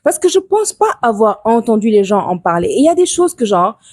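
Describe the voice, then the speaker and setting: A woman in her thirties co-hosts a relaxed podcast with a friend. Her voice is a touch high, switching now and then into English and Wolof. The sound is dry and close. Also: dry recording. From Senegal. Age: 30s. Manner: quick, chatty, mixing French with English and Wolof. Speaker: podcast co-host. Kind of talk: casual chat between friends.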